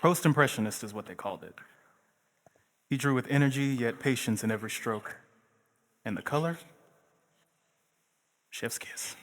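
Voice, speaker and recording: A man's voice: mid-range voice, normal speed, long pauses, a bit bright, natural voice. A man playing a painter's opinionated, fond brother in stage play dialogue. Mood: admiring. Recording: reverberant stage hall from afar.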